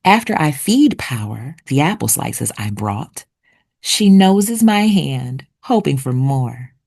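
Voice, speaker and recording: A woman narrating for children like a teacher, with deliberate, expressive enunciation. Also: extremely low pitch, gravelly. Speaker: read-aloud host and narrator. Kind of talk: children's picture book read-aloud with vocabulary teaching.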